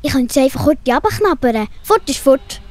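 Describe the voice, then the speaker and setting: A woman's voice: high-pitched, sing-song, moderate pace, darkish tone. A woman with a Swiss German accent playing a friendly, earnest young animal girl in a children's audio play. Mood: worried, hesitant.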